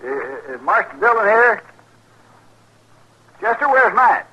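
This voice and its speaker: An actor playing a crusty, irritable frontier circuit judge, folksy and blustering, with an American accent in 1950s radio western style. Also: male voice, sits high, very animated, a little slow, bright, forceful tone, breathy edge, lo-fi sound, full character voice. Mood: gruff, impatient. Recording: noisy old radio drama recording.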